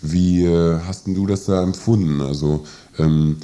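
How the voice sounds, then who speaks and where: Male radio host, very deep relaxed voice, hesitant interviewer who reworks his questions as he goes. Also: unhurried. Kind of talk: live radio interview, halting spoken questions.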